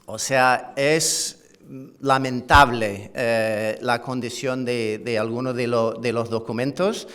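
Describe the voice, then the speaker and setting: A man's voice: mid-range voice, steady tone, takes it slowly, a bit bright, clean tone. A middle-aged man, a university professor with an English-Canadian accent mixed with Andalusian Spanish, giving a conference lecture in his second language, with many "eh" fillers, restarts and dry self-deprecating humor. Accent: English-Canadian with Andalusian Spanish touches.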